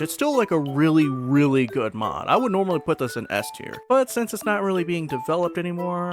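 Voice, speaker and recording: A man giving chatty, informal and opinionated commentary in the style of a casual gaming video host. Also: a little high.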